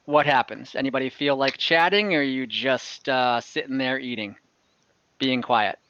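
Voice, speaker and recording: Bright, forceful male game master. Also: medium pitch, normal speed, clear, resonant tone, dry recording. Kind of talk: casual narration of a tabletop game. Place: home room, indoors, on video call. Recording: dull, lo-fi video-call audio.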